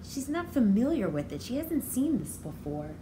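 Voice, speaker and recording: Expressive woman reading to children, noisy recording. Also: on the high side, moderate pace. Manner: expressive, simple explaining to young children. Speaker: preschool educator. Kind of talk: children's read-aloud with explanatory asides.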